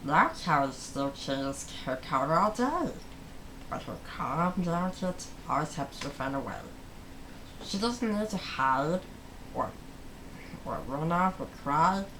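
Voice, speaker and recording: Woman, children's read-aloud host, unhurried delivery, slightly low pitch, faint background noise. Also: lively intonation, fairly bright tone, poor audio.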